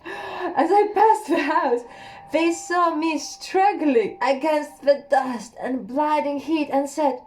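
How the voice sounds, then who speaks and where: A young woman reading a literary story aloud in a slow, hushed, measured way, with an occasional slip. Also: high voice, slight background noise, poor audio. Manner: slow, hushed and measured, with a few slips and laughs. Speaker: audiobook reader.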